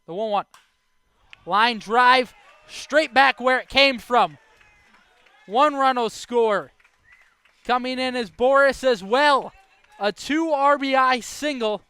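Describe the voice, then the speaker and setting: A man's voice: very high-pitched, slow pace, pauses often, pushed and bright, smooth, dry recording. A young American man calling baseball play-by-play as a live broadcast commentator. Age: young adult.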